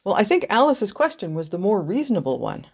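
An actress playing a practical, sceptical editor with a dry, pointed and slightly exasperated manner. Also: female voice, mid-range voice, big pitch swings, a little fast, a little mellow, slightly rough. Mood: dry scepticism. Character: sceptical, practical female editor critiquing a story.

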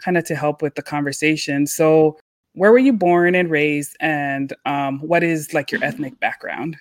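A man hosting a podcast in casual chat, upbeat and animated, with lots of fillers. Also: a little high, moderate pace, dry recording, clean studio sound. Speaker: podcast host.

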